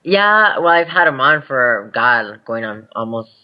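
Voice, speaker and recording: A woman's voice: extremely low pitch, big pitch swings, measured pace. A young adult woman speaking candidly as an interview guest, laughing easily as she talks. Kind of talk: casual interview chat. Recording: dull lo-fi podcast audio.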